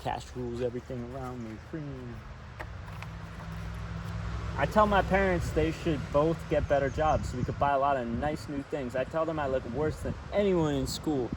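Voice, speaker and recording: A man reads a storybook aloud in a chatty, informal way, drifting easily between the text and his own remarks. His pitch is ordinary for a man. He is outdoors and a little way from the microphone, with clear outdoor and traffic noise around him. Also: normal speed. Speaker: storybook reader. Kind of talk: informal read-aloud with personal asides. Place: outdoors by a river. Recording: distant outdoor mic with steady background noise.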